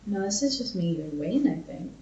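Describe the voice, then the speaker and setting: A woman's voice: medium pitch, animated, moderate pace, warm tone, a little hiss or hum. A woman co-hosting a podcast, chatting casually and reading out old messages in a sweary, self-mocking way. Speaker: podcast co-host. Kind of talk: casual chat and dramatic reading of old arguments. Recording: dull, echoey, far from the mic.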